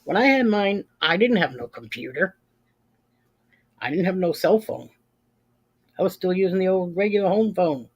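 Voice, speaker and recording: A woman's voice: on the low side, lively intonation, normal speed, long pauses, breathy edge. A woman in her fifties chatting casually as a livestream host, plain-spoken and emphatic, repeating phrases for stress. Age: 50s. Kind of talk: casual chat with live viewers.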